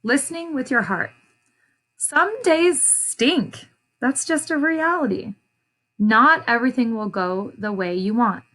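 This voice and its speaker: A woman reading a children's story aloud as an educator, pausing to ask questions and explain things to young listeners. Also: fairly high pitch, animated, sharp tone.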